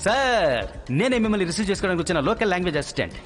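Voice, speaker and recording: High, fast, excitable young sidekick. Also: male voice, in a large hall. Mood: eager, boastful. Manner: excitable, theatrical, mixes in English. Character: eager, boastful young male language assistant, comic sidekick. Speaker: film actor. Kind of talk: comic action film dialogue. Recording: film audio over background music.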